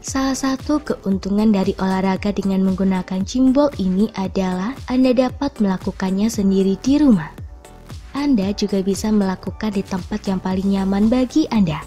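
Mellow woman narrating over soft music. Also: medium pitch, normal speed. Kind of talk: scripted instructional narration. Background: background music.

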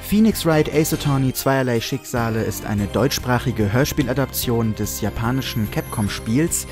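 Medium-pitched man presenting over music. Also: a little mellow. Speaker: trailer presenter, project director. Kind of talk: scripted trailer narration. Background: background music.

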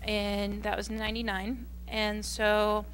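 A woman's voice: medium pitch, monotone, measured pace, fairly bright tone, slight background noise, low-quality recording. A woman in her thirties introducing herself as a new teacher at a board meeting, a little hesitant, speaking into a handheld mic. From Wisconsin. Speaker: new high school French teacher. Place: school board meeting room.

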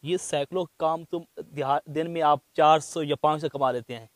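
High-voiced male field reporter. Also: fairly even intonation, brisk, no echo. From Pakistan. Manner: formal, polite. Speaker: host. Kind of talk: street interview reporting.

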